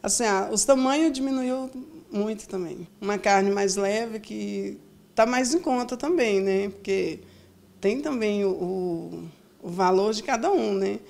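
A woman's voice: medium pitch, a little slow, gravelly, darkish tone. A middle-aged woman with a Brazilian accent explaining things informally in an interview at home. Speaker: homemaker being interviewed. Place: indoors in a home kitchen and dining area. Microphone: handheld reporter microphone.

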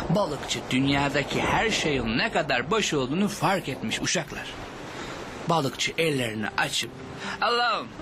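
A man's voice: a little high, noisy background. An actor voicing a jolly older fisherman, telling children a moral tale in a cartoon. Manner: animated, unhurried storyteller's delivery. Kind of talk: animated cartoon storytelling to children. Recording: music behind the voice. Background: music.

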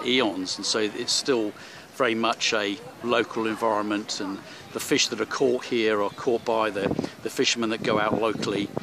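A man's voice: fairly low pitch, creaky, noisy background. Rambling man filming a hotel tour. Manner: rambling, many fillers and restarts. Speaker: travel vlogger. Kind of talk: handheld walking tour narration. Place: hotel rooms and seaside street. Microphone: handheld camera.

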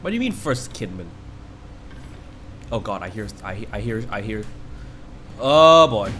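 A man's voice: lowish voice, big pitch swings, a little slow, a bit bright, slight background noise. A man with a stuffy nose from a cold, giving rambling, reactive commentary while he plays a horror game and talks to the screen. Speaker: let's play host. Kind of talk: casual gameplay commentary.

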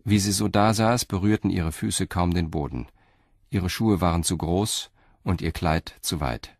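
A man's voice: low-pitched, unhurried, no echo, clean studio sound. A man reading scripted narration for an audio drama.

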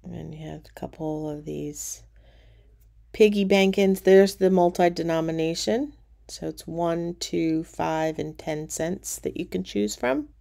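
A middle-aged woman with a low, gravelly voice narrates at an unhurried pace. She talks casually and relaxed, pointing things out as she walks through a casino, recorded on a handheld camera. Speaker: casino tour vlogger. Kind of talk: casual walking tour narration. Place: cruise ship casino, indoors.

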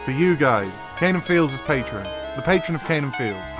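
A man, a podcast writer and producer, giving an informal promotional pitch in a playful, irreverent manner. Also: expressive, fairly quick, music in the background.

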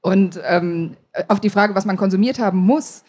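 A female interview guest with an average-pitched voice. She answers conversationally and casually, as an author at a live stage talk. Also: normal speed, a little mellow, slightly rough. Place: stage at a book fair.

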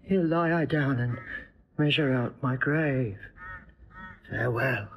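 A frail old manservant who is starving and worn out. He pleads slowly and wearily, his pitch sitting in an ordinary middle range. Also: muffled recording. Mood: exhausted. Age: older. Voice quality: weary, pleading, slow. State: faint from hunger. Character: old faithful male servant, starving and weak. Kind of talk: stage-style film acting.

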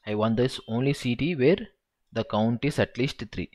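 A man's voice: medium pitch, normal speed, a little mellow. A man tutoring SQL in a screen-recorded walkthrough, thinking aloud and counting through each step.

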